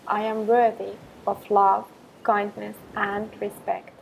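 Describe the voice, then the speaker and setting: Slow-speaking woman guiding a meditation. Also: mid-range voice, creaky, a little hiss or hum, low-quality recording. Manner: calm, measured, gentle delivery with long pauses. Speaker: guided meditation instructor. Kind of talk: guided meditation with spoken affirmations.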